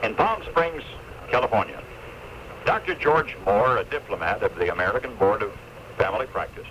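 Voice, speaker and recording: An older man giving an earnest, deliberate, folksy commentary as a radio newsman of the 1970s. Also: fairly low pitch, expressive, a bit bright. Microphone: radio played through headphones into cassette recorder. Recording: noisy lo-fi 1976 AM radio recording.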